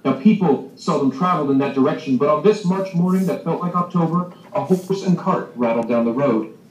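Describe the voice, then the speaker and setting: A man's voice: fairly high pitch, darkish tone, highs cut off. A man, a children's author, speaking enthusiastically and directly to young listeners as he presents and reads his storybook over a video call. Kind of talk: animated school talk and storybook reading. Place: video call played into a school library. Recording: roomy, distant video-call sound.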